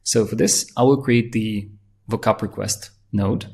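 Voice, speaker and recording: A man presenting a software tutorial, walking through a demo in excited, fast talk full of restarts. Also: on the low side. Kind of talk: screen-share software walkthrough.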